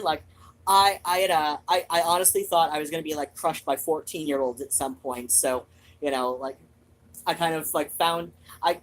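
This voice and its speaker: Casting: woman with a low voice for loose, animated, off-the-cuff host chat, sweary and wandering. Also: a little hiss or hum, rough recording. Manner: animated, digressive, swears freely. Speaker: host of a reading and chat show. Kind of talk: rambling casual personal chat.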